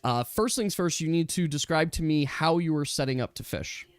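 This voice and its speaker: A man acting as game master, casually narrating a tabletop game in a halting, thinking-aloud manner. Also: a little high, expressive, clear, resonant tone, no echo. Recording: dry close mic with music behind. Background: music.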